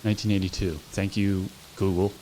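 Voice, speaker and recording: A man hosting a podcast in casual, joking chat, laid-back and quick to laugh. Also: deep voice, level tone, dark tone, slight background noise, poor audio. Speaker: podcast host. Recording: ambient electronic music and rain behind.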